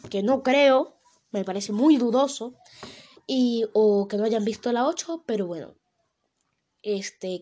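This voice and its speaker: A woman's voice: sits high, dark tone. A young woman from Venezuela with a Venezuelan accent, chatting casually on her own and rambling with many fillers and self-corrections. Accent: Venezuelan Spanish. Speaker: vlogger. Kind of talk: casual solo talk to camera.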